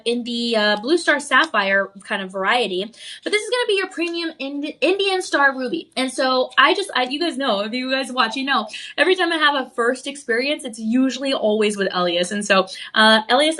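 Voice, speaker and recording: A woman hosting a live home shopping show, bubbly and gushing, her voice slightly raspy from a cough. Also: sits high, pushed and bright. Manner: bubbly and effusive, gushing over the product. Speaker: shopping channel host.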